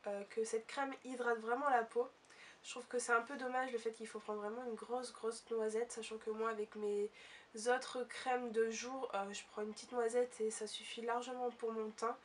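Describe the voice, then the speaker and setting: A woman's voice: a little high, far from the mic, a little hiss or hum. A young woman reviewing beauty products in a chatty way, full of hesitations, her voice stuffy from a cold. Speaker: beauty vlogger.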